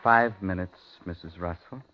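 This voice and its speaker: An actor playing an unhinged, menacing captor with a childishly boastful, politely threatening manner in a 1950s radio thriller. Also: male voice, sits low, moderate pace, faint music behind, lightly acted. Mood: quiet menace. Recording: dull, faintly noisy 1950s radio recording.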